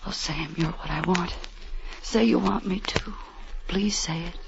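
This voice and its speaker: A woman's voice: on the low side, unhurried, creaky. An American woman playing a scheming, pleading femme fatale in 1940s radio melodrama style. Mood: seductive, hushed. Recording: muffled, hissy vintage radio recording.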